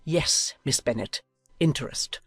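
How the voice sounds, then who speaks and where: A woman's voice: a bass voice, unhurried, slightly rough, dry recording, clean studio sound, faint music behind, full character voice. A woman playing a haughty, domineering older noblewoman in a dramatized audiobook, speaking with imperious, declamatory emphasis. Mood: scornful.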